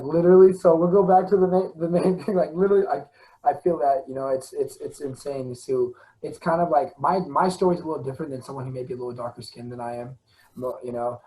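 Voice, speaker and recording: A man's voice: mid-range voice, warm tone, poor audio. A young man talking animatedly and passionately as a guest in a casual conversation, often breaking into laughter. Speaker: podcast guest.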